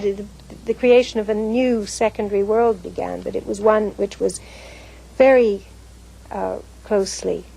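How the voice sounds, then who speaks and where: A middle-aged woman giving a reflective interview in a careful, halting way. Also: mid-range voice, creaky, dark tone, rough recording. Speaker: interviewee recalling family papers.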